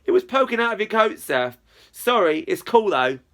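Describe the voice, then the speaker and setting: A man's voice: high-pitched, very animated, normal speed, vocal fry, a bit bright, voice pushed up, exaggerated voice. A man voicing a cheeky thirteen-year-old schoolgirl in a storybook, offhand and feigning innocence. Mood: falsely innocent. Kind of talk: children's storybook character dialogue.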